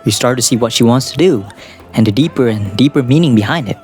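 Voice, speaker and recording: Male video essayist, mid pitch, casual and rambling, self-deprecating, music underneath. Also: fast, a little mellow. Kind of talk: casual, semi-scripted commentary. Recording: music behind the voice. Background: background music.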